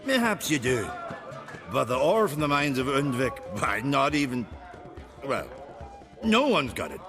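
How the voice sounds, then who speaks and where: An actor playing a blunt, proud island villager in a fantasy video game, speaking in a blustering, folksy way. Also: male voice, medium pitch, very animated, a little slow, over music. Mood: proud, defensive.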